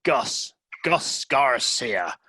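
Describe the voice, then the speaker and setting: A man's voice: medium pitch, big pitch swings, very slow, bright, forceful tone, no echo, studio quality, full character voice. A man playing a brash, blunt, rich American businessman in a comic radio play. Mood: brash, dismissive.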